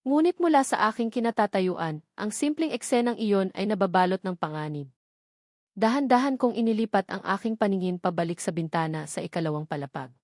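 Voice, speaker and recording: A woman narrating a dramatic first-person story in a measured, suspenseful storytelling manner. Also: mid-range voice, expressive, a little mellow, no room sound, studio quality.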